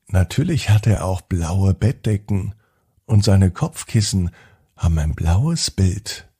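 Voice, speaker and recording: A man narrates a children's bedtime story in a gentle, deliberate way with pauses. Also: lowish voice, husky.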